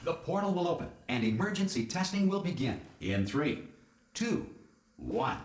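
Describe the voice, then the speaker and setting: A polite facility announcer reads out formal pre-recorded notices. His delivery is measured yet bounces in pitch. The voice rings with a roomy echo. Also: very animated. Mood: calm. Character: calm male facility announcer.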